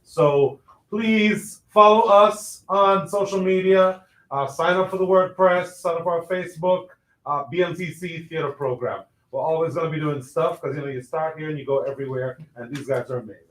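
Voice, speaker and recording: Casting: male theater host, informal closing announcements, slightly high voice, very roomy sound. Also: normal speed. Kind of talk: informal thank-you announcement to audience. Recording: echoey room sound.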